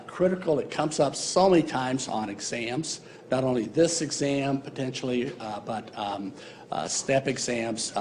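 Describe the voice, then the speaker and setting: A man's voice: medium pitch, darkish tone, a little hiss or hum. A man giving a university embryology lecture in a casual, joking way, with many fillers. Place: lecture hall.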